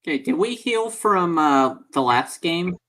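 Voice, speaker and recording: A man's voice: on the high side, lively intonation, measured pace, a bit bright. A man playing a tabletop game, chatting casually with the group. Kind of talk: casual chat.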